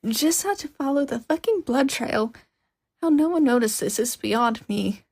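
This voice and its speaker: Woman acting a high, exasperated ranting young woman. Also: animated, measured pace, darkish tone, slightly rough, exaggerated voice.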